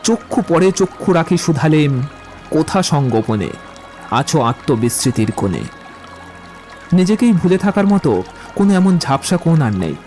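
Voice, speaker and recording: An actor playing a witty, poetry-loving young romantic suitor in an audio drama, with eloquent, theatrical delivery. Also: male voice, on the high side, sing-song, moderate pace, pauses often, darkish tone, soft background music. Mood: ardent, declamatory. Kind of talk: Bengali audio drama acting.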